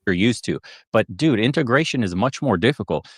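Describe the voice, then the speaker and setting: A man in a played podcast clip, giving opinionated commentary in a measured, lecturing way. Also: on the low side, animated, husky, dry recording, clean studio sound.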